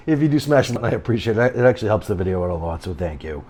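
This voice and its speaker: A middle-aged man with a low voice talks his way through an unboxing, rambling and cutting himself off mid-sentence. He gets easily excited and chats casually to his viewers, with a faint hiss of background noise behind him. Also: fast talker. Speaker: product reviewer. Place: home, later outdoors on a deck.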